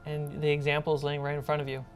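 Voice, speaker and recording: A woman's voice: low voice, completely flat delivery, fast talker. A woman running a tabletop role-playing game as game master, narrating scenes and answering players. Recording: background music.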